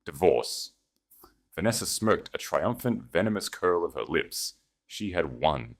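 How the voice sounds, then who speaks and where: A man with a low-pitched voice narrates a piece of fiction. He reads from a script in a dramatic audiobook style. The delivery is that of a storyteller carrying the scene. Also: very animated, normal speed.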